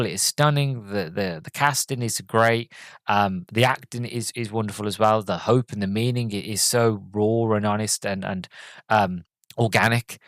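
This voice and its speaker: A man's voice: lowish voice, no echo. Rambling man, dry close sound. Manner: rambling, lots of restarts and ums. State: has a cold, a bit groggy. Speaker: podcast host. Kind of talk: casual solo movie review chat.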